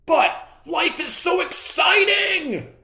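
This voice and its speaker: A reader voicing a loud, wild, exuberant boy shark in a children's storybook. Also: very high-pitched, animated, takes it slowly, vocal fry, echoey room, pitched above the performer's own voice, full character voice. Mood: exuberant, shouting. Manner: shouting, bellowing enthusiasm. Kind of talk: animated children's storybook read-aloud.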